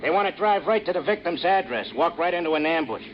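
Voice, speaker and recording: An actor in a radio drama playing a worldly-wise, folksy big-city cabbie with slangy, familiar talk. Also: male voice, a little high, lively intonation, quick pace, lightly acted. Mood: chatty, knowing. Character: chatty, worldly-wise male big-city cab driver. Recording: muffled, noisy old radio drama recording.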